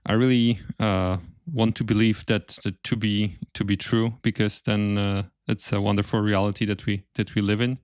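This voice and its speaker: A man hosting a casual podcast interview, speaking in a halting way and repeating words as he forms his thoughts. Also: lowish voice, flat delivery, smooth, dry recording, clean studio sound. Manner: hesitant, halting, repeats words while forming questions.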